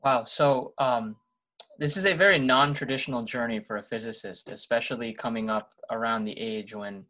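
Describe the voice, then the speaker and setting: A man's voice: mid-range voice, normal speed, pushed and bright, low-quality recording. Man hosting an interview, hesitant. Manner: hesitant, many ums. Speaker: interview host. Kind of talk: conversational interview questions.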